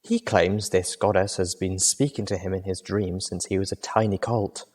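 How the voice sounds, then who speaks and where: A man doing a soft-spoken refined mare. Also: low-pitched, steady tone, normal speed. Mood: hushed. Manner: soft, hushed.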